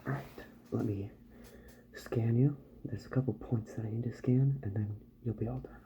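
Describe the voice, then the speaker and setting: A man doing a soft-spoken ASMR roleplay as a calm, polite doctor who repairs robots, murmuring gently and often dropping into a whisper. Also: mid-range voice, lo-fi sound, slight background noise. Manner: gentle, slow, murmured reassurance to the listener.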